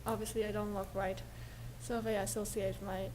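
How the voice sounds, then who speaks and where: A woman's voice: medium pitch, little pitch movement, moderate pace, darkish tone, low-quality recording. Woman, interview subject, explains carefully, restarts when searching for words. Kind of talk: interview answers. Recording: background noise.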